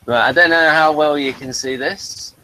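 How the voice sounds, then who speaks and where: A man's voice: on the high side, moderate pace, pushed and bright, no echo, poor audio. A man hosting an informal review, muddling along and thinking aloud as he presents. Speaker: host presenting an episode review. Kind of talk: casual chat, informal presenting.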